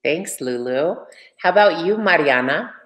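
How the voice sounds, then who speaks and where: A woman moderating a panel over a video call, measured and welcoming. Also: low voice, animated, fairly bright tone. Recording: roomy echo on video call.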